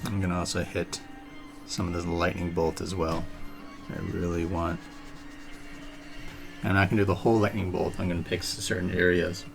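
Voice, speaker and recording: Deep gravelly man painting live. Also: normal speed, long pauses. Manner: relaxed, thinking aloud while working; chats with live viewers. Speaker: artist painting on a live stream. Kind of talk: casual painting demonstration. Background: music playing.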